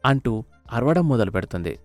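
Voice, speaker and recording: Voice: medium pitch, sing-song, a little fast, faint music behind. A narrator reading a scripted moral story.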